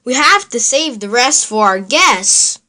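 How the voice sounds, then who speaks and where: A woman playing a gentle, caring mother in a children's storybook, patient and instructive. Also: on the high side, animated, a little slow.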